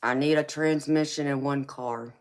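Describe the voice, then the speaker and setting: A woman's voice: extremely low pitch, steady tone, moderate pace. A woman with a Southern US accent, from California, hosting a casual livestream as a psychic tarot reader and answering viewer comments, laughing often and calling viewers baby.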